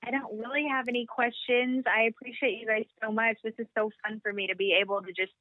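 A woman's voice: fairly high pitch, little pitch movement, fast, no echo. Young woman on a tinny phone line. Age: 20s. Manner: chatty, upbeat, lots of fillers. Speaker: guest, boutique founder. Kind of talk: casual phone interview.

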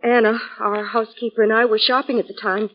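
A woman's voice: fairly high pitch, level tone, brisk, natural voice. An actress playing a worried, gracious woman, hesitant and earnest, in 1940s radio style. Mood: gracious, subdued. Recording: dull lo-fi 1940s radio recording.

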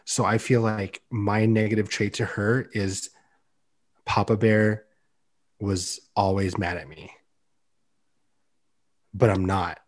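A young man on a podcast, talking earnestly and loudly in a casual chat, with many "like"s. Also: on the low side, fairly even intonation, takes it slowly, long pauses. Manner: loud, earnest, heavy use of "like". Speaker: podcast co-host.

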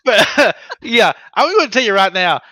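A man's voice: high voice, normal speed, no room sound, clean studio sound. A man co-hosting a podcast, reading out listener stories in casual chat with sarcastic asides and frequent laughs. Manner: animated, sarcastic, laughs often.

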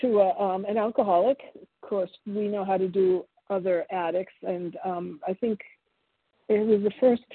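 A woman in her seventies whose first language is Italian, sharing her story at a recovery meeting in a halting, reflective way with many pauses and restarts. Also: mid-range voice, steady tone, slightly rough, dry recording. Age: 70s. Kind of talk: personal testimony at a recovery meeting. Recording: phone-line audio.

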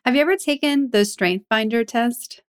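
A woman's voice: on the high side, normal speed, smooth. A woman hosting a podcast, talking in a curious, chatty way and asking follow-up questions. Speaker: podcast host. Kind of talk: casual interview chat.

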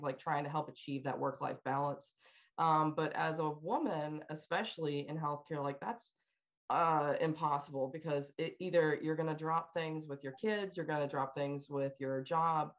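A woman's voice: low voice, lo-fi sound. A middle-aged woman chatting casually and candidly as a panel guest on a call. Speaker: panel guest working in healthcare.

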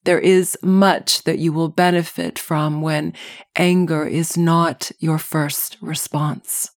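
A woman podcast host narrating a scripted guided meditation in measured, deliberate phrases. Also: deep voice, little pitch movement, no room sound, clean studio sound.

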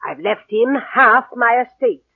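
A narrow, dated radio recording of a domineering older aunt. Her voice is husky and theatrical, with cutting, imperious phrasing that rises and falls widely in old-time radio style. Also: fairly high pitch, expressive, unhurried, dry recording, exaggerated voice. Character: domineering, spiteful, ailing older rich aunt. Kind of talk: 1940s-50s radio drama acting. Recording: band-limited old radio broadcast.

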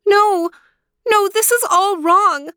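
A woman voicing an earnest, headstrong sixteen-year-old princess in a storybook, with an emphatic, expressive delivery. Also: high voice, normal speed, no room sound, clean studio sound, voice pushed up. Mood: alarmed, upset. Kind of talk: storybook character voice.

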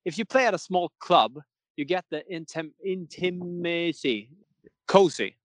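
A man's voice: fairly high pitch, animated, a little slow, no echo. Rambling man, dry sound. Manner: rambling, many restarts, laughs often. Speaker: metal singer, guest. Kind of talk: casual interview chat.

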